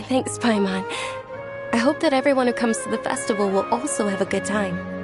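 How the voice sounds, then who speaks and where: A woman voicing a gentle, frail young noblewoman in an anime video game, soft-spoken, kind and courteous. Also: a little high, moderate pace, close to an everyday voice. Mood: warm, hopeful. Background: game music.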